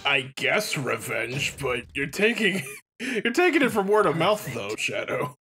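A man with a husky voice co-hosting a let's play with casual, self-deprecating joking commentary. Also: fairly high pitch, very animated, unhurried, bright, forceful tone, faint music behind.

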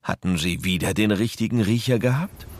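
A man narrating a children's adventure audio play as a measured storyteller. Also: on the low side, noisy background, close to an everyday voice. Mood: suspenseful.

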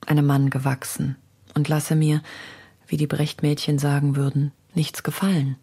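A woman reading as a poet confiding in intimate letters, with a breathy voice, for a radio feature. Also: low-pitched, little pitch movement, normal speed, mellow. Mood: intimate, reflective.

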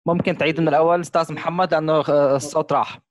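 A man's voice: a little high, little pitch movement, racing. A man moderating an online Q&A, giving polite brief prompts. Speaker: meeting moderator.

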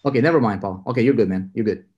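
A man's voice: lowish voice, very fast, slightly rough, lo-fi sound. Enthusiastic man interviewing over video call. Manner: energetic, enthusiastic, rambling with restarts. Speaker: interviewer, mentor. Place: indoor room with city view window.

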